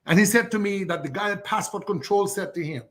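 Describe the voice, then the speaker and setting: A man's voice: sits high, brisk, a bit bright. An older man from Dominica, speaking as a guest on a political talk show in a fiery way, piling up repeated rhetorical lists. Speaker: guest commentator.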